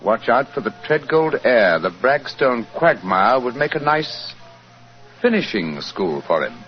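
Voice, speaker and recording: Gentleman detective, upper-class English accent, formal, probing, mid-pitched animated voice, muffled old radio recording. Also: unhurried, faint music behind. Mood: slow reading. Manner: crisp, formal, politely probing. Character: courteous, self-assured English gentleman detective. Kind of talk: 1940s radio acting.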